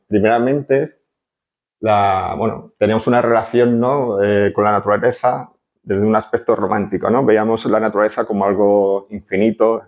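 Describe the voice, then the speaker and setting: A man's voice: on the low side, darkish tone. A man from Barcelona giving a conference lecture, hesitant, full of fillers and tag questions. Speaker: architect giving a conference talk. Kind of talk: lecture to an audience. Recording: dull lo-fi conference audio.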